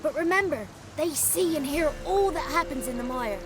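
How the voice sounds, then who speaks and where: A woman's voice: high-pitched, animated, moderate pace, noisy background. A woman voicing a cheeky, childlike male swamp creature in a video game, talkative and theatrical, with boastful, florid wordplay. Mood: ominous. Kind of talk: video game character acting.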